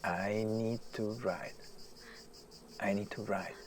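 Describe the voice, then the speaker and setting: An actor playing a famous male writer, agitated, self-deprecating and obsessed with his work, halting and repeating himself in a naturalistic audio drama. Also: fairly low pitch, fairly even intonation, a little hiss or hum. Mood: wound up.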